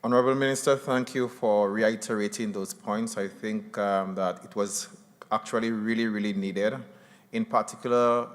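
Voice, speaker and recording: A man chairing a conference, thanking speakers and handing over the floor courteously. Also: lowish voice. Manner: courteous, formal. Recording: long reverb.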